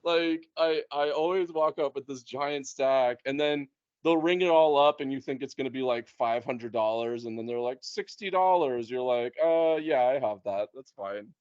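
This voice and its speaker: A man's voice: medium pitch, moderate pace, darkish tone, smooth, dry recording. Chatty man, slightly muffled dry sound. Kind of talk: casual chat.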